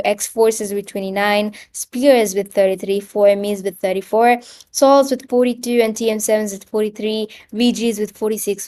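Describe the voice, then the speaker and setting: A woman from Sri Lanka with a Sri Lankan English accent, casting esports and reading stats fluently. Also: mid-range voice. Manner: fluent, reads stats in a steady run. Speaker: esports caster. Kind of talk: esports commentary.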